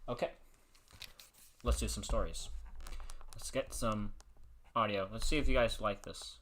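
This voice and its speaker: A man's voice: on the low side, unhurried, a bit bright. A man hosting a livestream, telling stories casually in a rambling way, often restarting and repeating his phrases. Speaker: livestream host. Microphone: streaming microphone he adjusts by hand.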